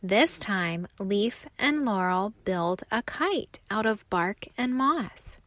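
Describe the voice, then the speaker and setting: A woman narrating a children's storybook aloud with expressive, deliberate phrasing. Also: medium pitch, no room sound.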